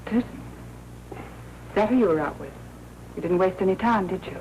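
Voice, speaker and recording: An American actress playing a concerned but tart newspaper colleague in an old crime film. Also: female voice, mid-range voice, lively intonation, normal speed, a little mellow, lightly acted. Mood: sarcastic. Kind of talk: 1940s film noir acting. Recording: very noisy old film soundtrack.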